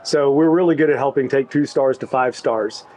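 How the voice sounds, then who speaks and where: A middle-aged man talking about his work in an easygoing, halting way, with many restarts. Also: mid-range voice, a little mellow, slight background noise. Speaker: tech executive, interviewee. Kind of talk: casual backstage interview.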